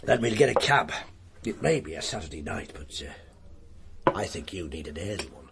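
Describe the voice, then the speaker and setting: An actor playing a gruff, wry police inspector in a radio drama, speaking in a deliberate, sardonic, commanding way. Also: male voice, low-pitched, very animated, loud music behind the voice, heavily acted. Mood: measured, dry.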